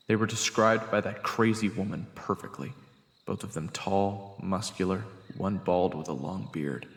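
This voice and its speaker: An echoing, reverberant low male voice, acted by a man, gravelly and grave, narrates a fantasy audio drama as a wary investigator. He delivers scripted storytelling over music, with long reverb trailing the words. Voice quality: deep, gravelly.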